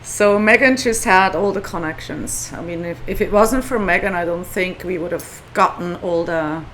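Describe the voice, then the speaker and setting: A woman's voice: fairly low pitch, moderate pace, slight background noise, poor audio. A woman from Switzerland with a Swiss accent, a guest telling stories in a casual podcast interview. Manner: animated storytelling with quoted dialogue. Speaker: guest, non-profit founder.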